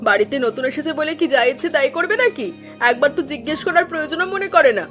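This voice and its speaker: A high, rapid, scolding cartoon mother-in-law, played by a woman. She is bossy, scornful and middle-aged, snapping at her family over music. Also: animated, fairly bright tone. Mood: angry, scolding. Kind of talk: Bengali cartoon dialogue acting. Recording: background music under voice.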